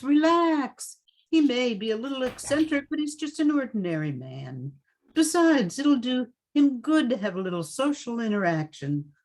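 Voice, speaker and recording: Casting: no-nonsense woman boss played by a woman, high-pitched and expressive, reassuring, brisk, radio drama. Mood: brisk reassurance. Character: brisk, practical female literary agent and boss.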